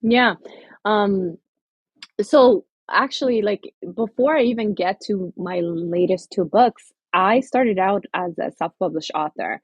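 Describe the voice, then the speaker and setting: A woman's voice: medium pitch, slow pace, slightly rough, dry recording, lo-fi sound. A woman from Somaliland, an author and guest, talking earnestly and reflectively in an interview, with many fillers and restarts. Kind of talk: interview conversation.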